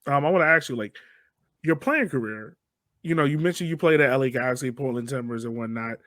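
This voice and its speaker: A man's voice: medium pitch, a little fast, a bit bright, dry recording. Casual male podcast interviewer, dry sound.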